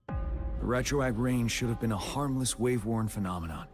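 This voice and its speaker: An actor playing a grave, measured military commander in a video game, speaking solemnly and deliberately. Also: male voice, mid-range voice, over music, natural voice. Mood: somber. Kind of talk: dramatic scripted game dialogue.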